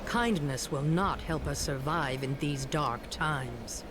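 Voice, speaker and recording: An actress playing a hardened, vengeful mystic in a fantasy game, with a solemn, stern delivery. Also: female voice, low voice, takes it slowly, background noise. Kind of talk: fantasy video game voice acting. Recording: loud background ambience.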